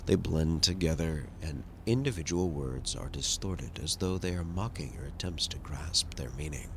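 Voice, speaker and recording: Low gravelly male narrator for a game playthrough, dramatic and measured. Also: a little hiss or hum. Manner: measured, dramatic storytelling delivery. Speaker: host and voice actor of a game playthrough. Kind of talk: narrating a video game aloud.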